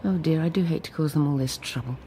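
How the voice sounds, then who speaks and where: Woman acting low-voiced genteel older English wife. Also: fast, warm tone, a little hiss or hum, close to an everyday voice. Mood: confiding. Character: runaway English politician's wife, genteel, quietly defiant. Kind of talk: radio drama acting, confiding monologue.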